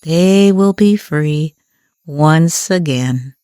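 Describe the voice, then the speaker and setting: A woman playing a calm advocate who rescues banned books in a stage puppet musical, speaking in a measured, proclamatory way. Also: deep voice, dry recording, studio quality. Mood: hopeful, inviting.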